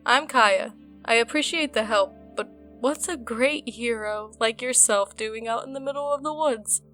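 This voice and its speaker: Woman acting skeptical female adventurer, blunt, higher-pitched, forceful, fantasy audio drama. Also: normal speed, faint music behind, lightly acted. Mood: grudging, probing. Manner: blunt, sardonic.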